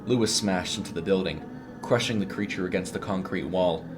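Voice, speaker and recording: A man's voice: low-pitched, brisk, over music. A man reading a scripted horror short story as its narrator.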